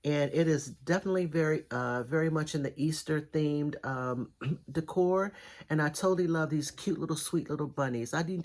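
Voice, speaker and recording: A middle-aged woman with a raspy, congested voice, chatting enthusiastically through a thrift haul video. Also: deep voice. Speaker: thrift reseller vlogger. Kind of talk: casual haul video chat.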